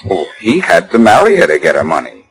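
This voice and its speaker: A man's voice: sits low, huge pitch swings, faint music behind, poor audio. A man with an American accent playing a confident, sardonic amateur detective in a 1940s radio drama. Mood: brisk, reasoning. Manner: brisk, assertive, sardonic.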